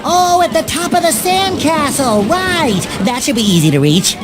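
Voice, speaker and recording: A man's voice: very high voice, animated, normal speed, crowd noise. A man voicing a naive, eager, childlike sea sponge in a cartoon game, earnest and easily sidetracked. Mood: cheerful. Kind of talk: animated cartoon game acting. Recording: music behind the voice.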